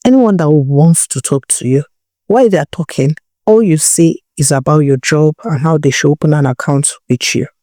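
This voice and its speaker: A woman's voice: low-pitched, normal speed, mellow, dry recording, clean studio sound, natural voice. A woman storyteller voicing a worldly, scornful young Nigerian woman who lectures bluntly in a folktale. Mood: instructing. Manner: blunt, lecturing, rhetorical questions. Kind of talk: storybook character dialogue.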